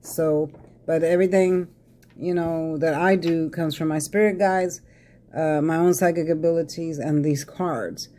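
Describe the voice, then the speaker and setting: A raspy-voiced woman, chatting casually to viewers as a tarot reader, congested from a head cold. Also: low voice, fairly even intonation, a little slow, warm tone. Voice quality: raspy, crackly voice. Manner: chatty, addresses viewers as y'all. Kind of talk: casual psychic reading vlog.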